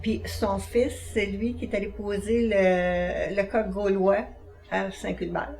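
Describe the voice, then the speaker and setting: Older Quebec woman, slow and distant. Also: mid-range voice, fairly even intonation, darkish tone. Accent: Quebec French. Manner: hesitant, many fillers when telling a story. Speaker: interview participant.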